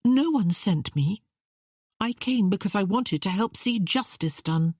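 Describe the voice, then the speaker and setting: A bold, idealistic young woman in a historical novel, performed by a woman narrator. She sounds earnest and impulsive, with a raised pitch and very lively intonation. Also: unhurried, slightly rough, no room sound, clean studio sound. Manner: earnest, blurting.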